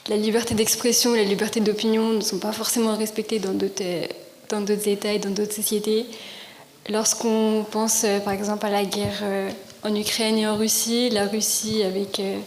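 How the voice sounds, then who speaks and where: A young woman, a student, giving a prepared award speech in an earnest, halting way. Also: medium pitch. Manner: flat, earnest, halting with fillers. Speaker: student prize winner. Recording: echoey hall with faint noise.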